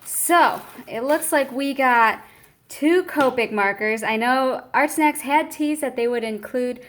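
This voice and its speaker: Young woman, fairly high voice, casual unboxing chat, frequent ums, reads product details. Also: slow pace, fairly bright tone. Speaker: art supply unboxing vlogger.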